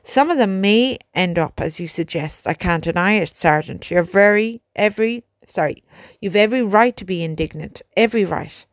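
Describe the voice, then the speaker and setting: A woman reading aloud, voicing a reluctant male army major who delivers bad orders slowly. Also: mid-range voice, animated, no room sound, clean studio sound, lightly acted. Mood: resigned. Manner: slow, measured, subdued.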